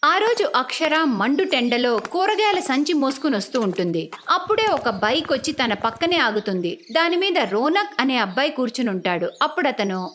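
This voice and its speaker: A woman's voice: sits high, very animated, normal speed, a bit bright, a little hiss or hum. A woman narrating a cartoon story in a scripted storytelling style.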